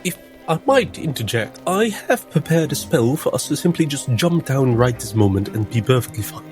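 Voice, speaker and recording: A man's voice: medium pitch, animated, moderate pace, darkish tone. Formal, theatrical man roleplaying over music. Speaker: tabletop game player. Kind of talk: in-character roleplay mixed with improvised narration. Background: music under the voice.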